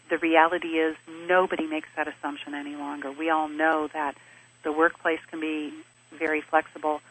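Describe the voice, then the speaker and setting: A woman who grew up in Switzerland, answering interview questions in a measured, explanatory way and stringing her points into lists. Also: sits low, a bit bright, low-quality recording. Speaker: interview guest, business advisor. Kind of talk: thoughtful conversational interview answers.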